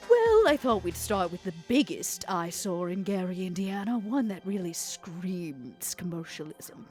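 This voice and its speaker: A woman playing a scheming, worldly female vampire businesswoman in a tabletop roleplay, speaking in a theatrical, deliberate, insinuating way. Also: lowish voice, very animated, a little mellow, soft background music. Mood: coy, conspiratorial.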